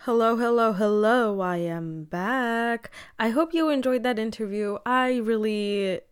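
Higher-pitched woman hosting radio. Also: measured pace, smooth. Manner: encouraging, appreciative. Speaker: radio interviewer and host. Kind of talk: casual radio interview.

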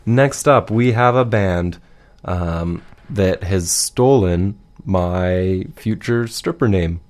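A man co-hosting a casual, humorous radio show in a halting, mock-serious way. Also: low voice, darkish tone.

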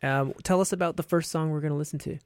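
A man's voice: on the high side, fairly even intonation, quick pace, clean tone, no room sound. A man hosting a radio interview in the studio, asking questions with many hesitations and restarts. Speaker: radio host.

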